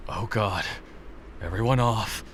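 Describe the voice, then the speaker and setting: A man's voice: on the low side, takes it slowly, slight background noise. A man playing an earnest, stubborn warrior hero with a terse, urgent delivery in an audio drama. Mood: awkward, urgent.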